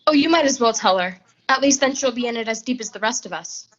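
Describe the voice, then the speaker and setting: A woman's voice: fairly high pitch, expressive, fast talker. A young woman playing a scheming, jealous accomplice in a 1940s-style suspense radio drama, with a pointed, cutting manner. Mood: cool, cutting. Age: young adult. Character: scheming, possessive female accomplice, cool and jealous. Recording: dull lo-fi audio.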